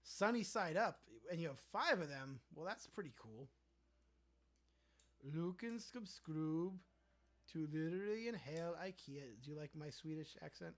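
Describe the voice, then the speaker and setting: A man's voice: on the high side, expressive, a little slow, a bit bright. Chatty young man reading stream chat. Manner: chatty, reads viewer comments aloud and riffs on them playfully. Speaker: livestream host reading chat. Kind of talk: casual livestream chat.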